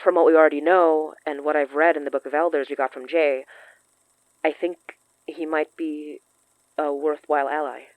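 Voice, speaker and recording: A woman's voice: low voice, fairly even intonation, moderate pace, dry recording, close to an everyday voice. Actress as an earnest occult-savvy woman, dry muffled sound. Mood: earnest, cautious. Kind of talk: audio drama acting.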